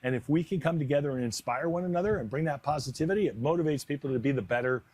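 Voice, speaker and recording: A man's voice: on the high side, rapid-fire, darkish tone, slightly rough. A man with an American accent, an announcer by trade, answering interview questions conversationally. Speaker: stadium announcer being interviewed.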